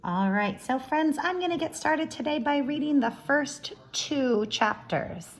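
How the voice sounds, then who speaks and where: A woman reading a storybook aloud to young children like a teacher, expressive, with friendly asides to her listeners. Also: high-pitched, measured pace.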